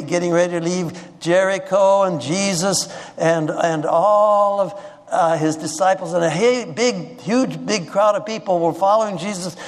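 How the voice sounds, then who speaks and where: A man preaching a sermon in a conversational way, often restarting and repeating words and asking the audience questions. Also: high voice. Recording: long reverb of a large hall.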